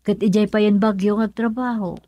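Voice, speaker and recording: A woman's voice: mid-range voice, level tone, brisk, dry recording, lo-fi sound, natural voice. An actress with a Filipino accent playing a middle-aged mother, concerned and questioning, in a radio drama. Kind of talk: Ilocano radio drama acting.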